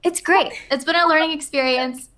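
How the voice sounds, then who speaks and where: A woman panelist on a casual gaming chat show, talking in a bubbly, excitable and self-mocking way. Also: high voice, normal speed. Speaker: regular panelist. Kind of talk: casual chat about games.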